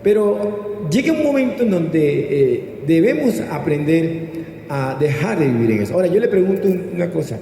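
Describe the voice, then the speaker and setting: Man, Colombian accent, pastor's sermon, call-and-response teaching, mellow timbre, lively intonation, reverberant hall, faint noise. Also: fairly high pitch, normal speed, gravelly. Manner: didactic, prompting listeners to repeat and answer. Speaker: pastor preaching. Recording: echoey hall with long reverb, background noise.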